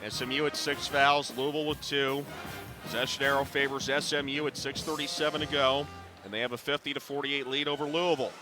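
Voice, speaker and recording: A middle-aged man calling live basketball on radio in clipped running descriptions that can rise to excited calls. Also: mid-range voice, brisk, music in the background. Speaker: play-by-play basketball announcer. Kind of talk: live radio sports commentary. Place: courtside in a basketball arena.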